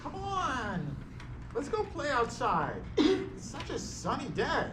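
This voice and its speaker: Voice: high voice, huge pitch swings, takes it slowly, fairly bright tone, soft background music, heavily acted. A performer reading a playful, eager little brother, a bored boy, in a staged play reading. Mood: eager, pleading. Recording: noisy, echoey room.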